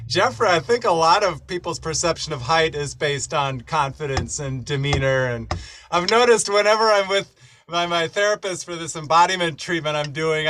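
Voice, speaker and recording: A man in a casual group video chat, musing with animated asides. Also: a little high, slight background noise.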